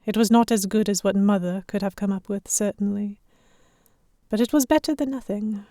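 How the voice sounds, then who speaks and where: A woman playing a sharp-tongued young lady hostage among pirates, narrating her own story in an expressive, introspective way. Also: medium pitch, normal speed, no echo, studio quality, close to an everyday voice. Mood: amused. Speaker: narrator and lead character. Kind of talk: first-person audio drama narration.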